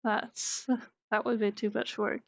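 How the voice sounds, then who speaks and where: A woman's voice: mid-range voice, slow pace, creaky, no echo. A woman from Norway, a native Norwegian speaker, chatting casually with viewers during a live drawing stream, trailing off with fillers as she works. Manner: relaxed, reads chat aloud, trails off with fillers while concentrating. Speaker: art streamer.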